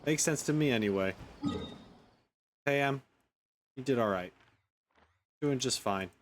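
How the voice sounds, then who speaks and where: A man's voice: mid-range voice, unhurried, background noise. A man streaming a game with casual let's-play commentary, rambling as he thinks aloud. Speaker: gaming streamer.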